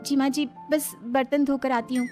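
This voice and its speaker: A woman's voice: high voice, flat delivery, moderate pace, dark tone, faint music behind, pitched above the performer's own voice, natural voice. A woman voicing a sweet, naive young village daughter-in-law in a cartoon story, speaking politely and earnestly. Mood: obedient.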